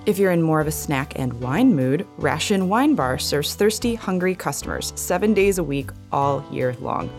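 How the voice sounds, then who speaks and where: A woman's voice: deep voice, animated, normal speed, few pauses, a little mellow, soft background music. A woman announcer reading a scripted advertisement. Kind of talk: scripted ad read.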